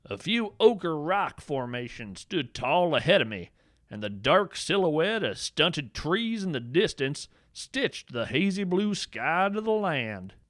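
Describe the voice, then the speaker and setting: A man's voice: a little high, big pitch swings, takes it slowly, a bit bright, no echo, studio quality, heavily acted. A man playing a folksy, eccentric naturalist podcast host, delivering a whimsical scripted monologue in a theatrical, wry way. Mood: animated storytelling. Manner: theatrical, folksy, wry, addresses listeners as friends. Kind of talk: scripted comedic monologue, radio-show storytelling.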